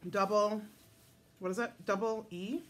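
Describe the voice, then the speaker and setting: A woman's voice: mid-range voice, steady tone, measured pace, fairly bright tone. Chatty middle-aged Wisconsin woman. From Wisconsin, United States. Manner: chatty and homey, rambling with fillers. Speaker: home cooking vlogger. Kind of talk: casual cook-along chat. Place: home kitchen and dining table.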